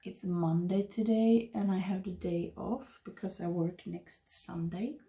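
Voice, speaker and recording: A woman in her thirties with a Swedish accent, chatting and murmuring softly to the camera while doing her makeup at home. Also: fairly low pitch, steady tone, measured pace. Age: 30s. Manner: soft, rambling, murmuring while applying makeup. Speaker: beauty vlogger. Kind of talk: casual get-ready-with-me chat. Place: home makeup room, indoors. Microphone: webcam framing at desk.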